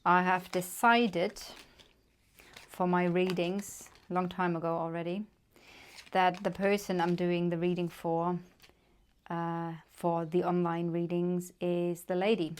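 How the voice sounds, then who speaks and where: Woman, German accent, tarot reader, flat delivery, gentle pace with fillers. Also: on the low side. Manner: gentle, unhurried, many fillers. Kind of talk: casual tarot reading.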